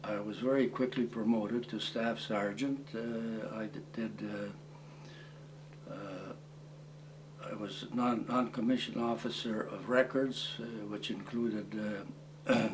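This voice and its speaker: A man in his eighties, originally from Croatia, recalling his past in an oral history interview, speaking haltingly with many fillers and restarts. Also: fairly low pitch, fairly even intonation, noisy background. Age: 80s. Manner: plain, matter-of-fact storytelling. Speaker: war veteran interviewee.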